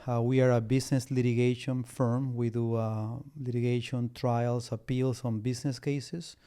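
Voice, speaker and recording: A middle-aged man from Peru speaks English, his first language being Spanish, at a medium pitch with a dark, relaxed tone. He talks in a casual interview way, halting often with restarts and fillers as he explains his work. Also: smooth. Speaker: guest, business litigation lawyer.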